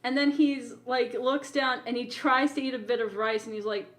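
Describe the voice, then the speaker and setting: High-voiced, playful woman chatting on a podcast. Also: steady tone, normal speed. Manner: playful, teasing, often says "like". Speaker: podcast co-host. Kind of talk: casual chat, animated storytelling.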